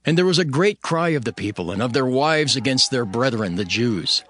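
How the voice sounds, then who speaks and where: A man's voice: medium pitch, animated, brisk, a bit bright, dry recording. A man narrating dramatized scripture in the first person, with a solemn, declamatory delivery. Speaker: narrator reading as Nehemiah. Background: music.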